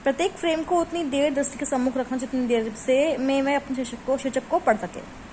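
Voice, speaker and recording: A woman's voice: high voice, steady tone, brisk, darkish tone, soft background music. A woman teacher explaining a lesson, reading out textbook phrases and then rephrasing them in casual Hindi mixed with English words. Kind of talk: explaining from study notes.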